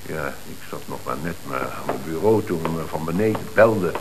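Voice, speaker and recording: An earnest company director in a Dutch radio play, agitated and prone to halting repetitions. He speaks with a Netherlands Dutch accent on an old, faintly hissy recording. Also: very deep voice, expressive. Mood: explaining. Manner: halting, repeats words when rattled.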